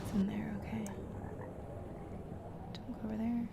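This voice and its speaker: A woman's voice: fairly high pitch, level tone, a little slow, creaky, very noisy. A woman giving casual gameplay commentary, murmuring as she thinks aloud. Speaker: video game player and host. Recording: loud game music behind voice. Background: game music and sounds.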